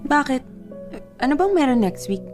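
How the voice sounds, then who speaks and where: A woman's voice: high-pitched, very animated, moderate pace, a little mellow. A woman playing a fed-up, defiant young girlfriend in a radio drama, dismissive and exasperated as she argues. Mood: nonchalant. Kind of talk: Filipino radio drama acting. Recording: music bed under the voice.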